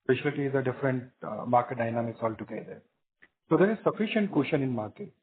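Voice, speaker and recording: A man with an Indian accent answering analysts as a company executive on a business call, measured and matter-of-fact in explaining. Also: mid-range voice, lively intonation, slight background noise. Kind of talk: spontaneous business Q&A on an earnings call. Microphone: conference call line. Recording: muffled narrowband phone conference line.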